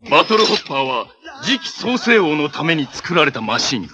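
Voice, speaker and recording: A man's voice: a little high, very animated, fairly quick, a crowd in the background. A man playing a haughty armored villain from a tokusatsu TV show, heir to an evil empire, making grandiose, commanding, theatrical declarations. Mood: gloating. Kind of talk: Japanese tokusatsu TV villain acting. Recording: clip from 1980s TV with music behind.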